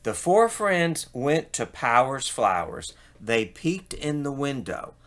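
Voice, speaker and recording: A man narrates a children's story expressively. His pace is slow and deliberate. His voice is bright, with lively rises and falls in pitch. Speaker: storybook reader.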